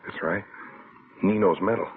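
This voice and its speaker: An actor playing an intense, anxious announcer turned investigator who narrates a vintage radio suspense drama. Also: male voice, lowish voice, steady tone, moderate pace, narrow, tinny audio, close to an everyday voice. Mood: probing, guarded. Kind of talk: 1940s-50s radio suspense acting.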